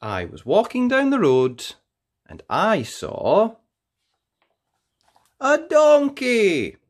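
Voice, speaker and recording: A storyteller hosts a read-aloud for children. The delivery is extremely animated, leaping up and down in pitch. Key words are stretched out with playful, theatrical emphasis. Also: extremely slow. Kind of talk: expressive read-aloud of a children's picture book.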